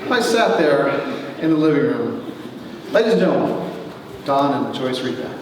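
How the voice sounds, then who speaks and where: A man giving a wry dramatic reading of his own humorous story to an audience, with theatrical pauses. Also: mid-range voice, big pitch swings. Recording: echoey hall from far off, faint noise.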